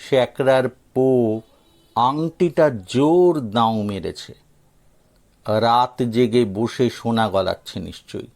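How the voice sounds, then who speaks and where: A man's voice: medium pitch, takes it slowly, creaky, a little mellow. A man reading a scripted story expressively as an audio story narrator.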